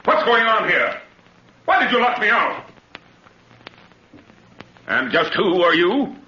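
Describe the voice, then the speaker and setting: A man's voice: high-pitched, sing-song, takes it slowly, pushed and bright, slight background noise, exaggerated voice. An actor playing a confident, educated policeman with an authoritative manner in a 1950s radio drama. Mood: stern. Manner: reassuring, authoritative. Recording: muffled old radio recording with music.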